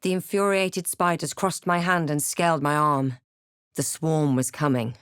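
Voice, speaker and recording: A woman playing a wry, brooding adventurer heroine in a fantasy video game, with a measured, dramatic delivery. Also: sits low, fairly even intonation, a bit bright, clean tone, no echo, clean studio sound. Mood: tense narration. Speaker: video game player-character voice actor. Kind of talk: scripted game narration and barks.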